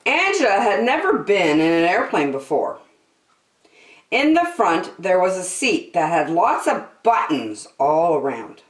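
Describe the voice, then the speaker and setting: A woman hosting story time, reading a children's book aloud in an expressive, deliberate, sing-song way. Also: fairly low pitch. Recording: echoey room.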